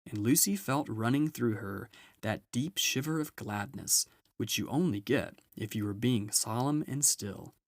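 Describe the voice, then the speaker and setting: A man's voice: medium pitch, measured pace, dry recording, clean studio sound. A man reading a children's novel aloud as scripted narration. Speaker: storybook reader.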